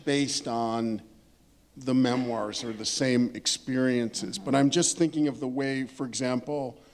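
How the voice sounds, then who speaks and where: A man's voice: medium pitch, fairly even intonation, unhurried. A man on a discussion panel, speaking unscripted and thoughtfully about literature, emphatic and rephrasing as he works toward his point.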